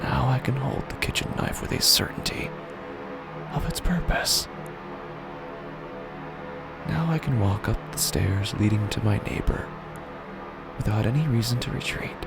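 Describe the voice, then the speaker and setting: A man playing an unhinged narrator descending into madness in a horror audio drama, speaking slowly and haltingly, often hushed to a whisper over loud music. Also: medium pitch, lively intonation, vocal fry, voice pushed up. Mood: manic, eerie revelation.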